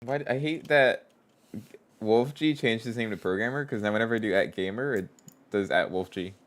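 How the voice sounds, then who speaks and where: Male streamer, stuffy nose, low-ish smooth voice, casual think-aloud coding chat. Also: fairly quick. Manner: thinks aloud, reads chat aloud, trails off and restarts. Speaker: streamer and game developer.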